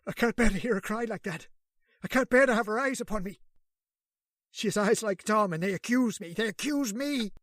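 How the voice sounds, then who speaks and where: An actor playing a guilt-stricken older uncle who confesses to murder, stammering and panicked, in old-time radio drama style. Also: male voice, high voice, a little fast, a bit bright, dry recording, studio quality. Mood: anguished confession.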